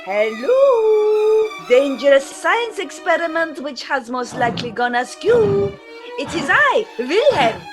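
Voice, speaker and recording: A woman's voice: very high-pitched, big pitch swings, full character voice. A woman voicing a melodramatic, eccentric mad scientist with a mock German accent in a spoof B-movie radio play. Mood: excited, cooing. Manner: theatrical, exclaiming, drawn-out. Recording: loud music behind the voice.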